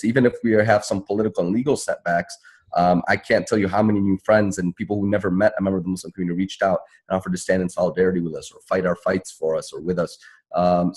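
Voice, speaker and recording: Male activist panelist, low and husky, rapid, emphatic, unscripted advocacy delivery. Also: a little mellow. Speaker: panelist, civil rights attorney and activist. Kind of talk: spontaneous panel talk, advocacy.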